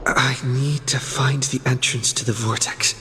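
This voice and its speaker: An actor voicing a lone, wary young hero in a video game, speaking in a hushed, halting way. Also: medium pitch, steady tone. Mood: uneasy, searching. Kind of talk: video game story voice acting. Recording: long reverb, faint background noise.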